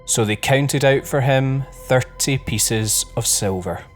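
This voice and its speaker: Mid-pitched man reading scripture over music. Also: slow. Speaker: Bible reader.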